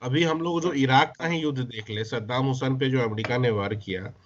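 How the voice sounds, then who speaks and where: A man of medium pitch speaks as an interviewer in a conversational discussion. He builds long questions, hesitating and rephrasing along the way. The sound is dull and lo-fi. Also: fairly quick, a little hiss or hum. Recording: muffled lo-fi recording.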